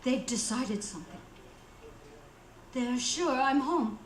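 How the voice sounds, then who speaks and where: A woman playing a panicky, imperious bedridden wife in a radio play, with shrill, melodramatic, stammering indignation. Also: animated, slow, reverberant, slight background noise. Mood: fearful, brooding. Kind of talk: radio theater acting.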